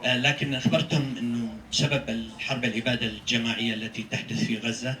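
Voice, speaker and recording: A man from Yemen giving a halting public statement at a press conference. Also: medium pitch, little pitch movement, sharp tone. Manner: halting, with frequent hesitations. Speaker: human rights lawyer speaking at press conference. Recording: echoey room, distant mic, faint noise.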